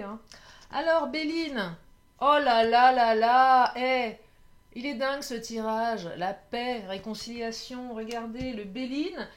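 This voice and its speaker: A woman reading oracle cards for her viewers in a casual, chatty way, a bit hoarse, often laughing and correcting herself. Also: on the high side. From France. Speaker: tarot and oracle card reader. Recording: faint background noise.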